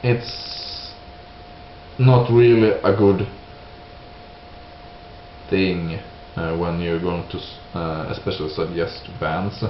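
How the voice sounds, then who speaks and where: A man from Sweden with a Swedish accent, giving an unscripted opinion monologue in halting English, hesitant and searching for words. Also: low-pitched, steady tone. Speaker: video creator giving opinions. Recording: roomy, dull lo-fi sound with hiss.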